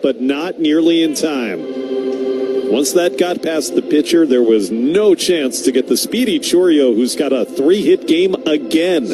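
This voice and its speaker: A man calling live baseball play-by-play as a radio announcer. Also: mid-range voice. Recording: clear background noise, outdoor ballpark ambience.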